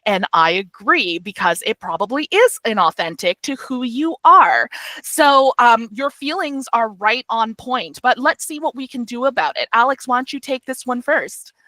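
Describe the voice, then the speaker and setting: Woman host, career coach, bright tense voice, dry close sound, "you know" fillers, video call. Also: a little high, expressive, moderate pace, no room sound. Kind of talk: casual Q&A hosting.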